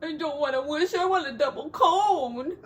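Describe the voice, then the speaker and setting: A woman voicing a sulky, greedy little boy piglet from a storybook with a tearful, halting whine. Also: high-pitched, voice pushed up, close to an everyday voice. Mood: whimpering, sulky.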